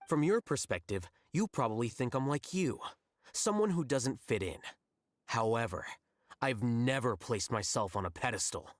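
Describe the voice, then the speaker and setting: An actor playing a rational, blunt young scholar in a video game, speaking in a measured, detached, matter-of-fact way. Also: male voice, mid-range voice, no room sound, close to an everyday voice. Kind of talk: English video game voice acting.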